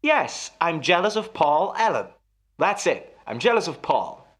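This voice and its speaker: A man's voice: a little high, very animated, measured pace, fairly bright tone. An actor in a radio play as a jealous husband, musing theatrically and teasing his wife with menace. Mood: playful menace.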